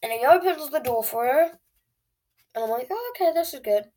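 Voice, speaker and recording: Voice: high voice, expressive, normal speed, a little mellow, slight background noise. An eleven-year-old child hosting a video channel, telling stories casually to viewers in a dramatic, whiny way. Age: child, eleven years old.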